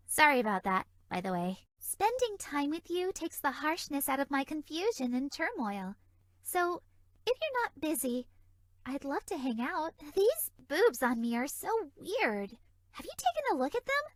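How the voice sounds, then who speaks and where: A sweet, naive fairy for a video game. Her voice is extremely high and airy, with animated, bouncy melody. She sounds innocently flirty, in a very dry recording. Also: unhurried, no echo. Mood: shy, flirty. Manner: bubbly, innocently suggestive, airy. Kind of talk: video game character dialogue.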